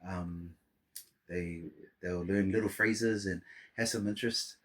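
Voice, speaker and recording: A man's voice: low-pitched, steady tone, takes it slowly, breathy edge. A middle-aged man from Samoa, a podcast guest chatting casually and rambling with many restarts. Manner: rambling, restarts and fillers, warm laughter-prone storytelling. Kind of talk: casual interview chat.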